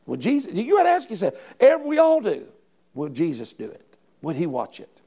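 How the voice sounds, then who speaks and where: Middle-aged male preacher, high and gravelly voice, fervent and folksy, frequent restarts. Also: wildly swooping pitch, moderate pace, dark tone. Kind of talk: sermon.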